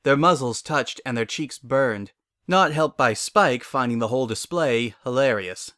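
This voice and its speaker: A man's voice: medium pitch, lively intonation, measured pace, fairly bright tone, no room sound, clean studio sound. A man giving a scripted dramatic narration of a story.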